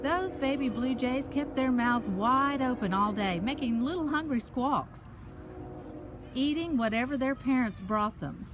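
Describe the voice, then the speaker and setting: A woman's voice: high voice, a little slow. A woman reads a picture book aloud to children while walking outside in a park, adding chatty explanations and questions for her young listeners. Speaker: picture book reader and host. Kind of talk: reading a children's story aloud with chatty asides. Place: outdoors, walking along a park path. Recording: noisy outdoor ambience while walking.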